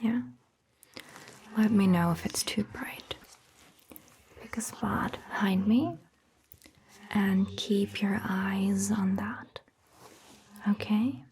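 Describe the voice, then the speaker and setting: A woman playing a gentle, reassuring vampire doctor in a whispered, breathy ASMR roleplay, soothing and patient. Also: mid-range voice, fairly even intonation, slight background noise. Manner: slow, soothing, patient explaining.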